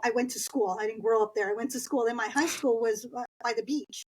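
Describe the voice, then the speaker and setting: A woman's voice: fairly high pitch, fairly even intonation, fairly quick, breathy edge, rough recording. A middle-aged woman from East Los Angeles, whose first language is Spanish, telling stories from her school years as an interview guest. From East Los Angeles, Southern California. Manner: chatty, anecdotal, laughs while telling stories. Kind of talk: conversational interview storytelling.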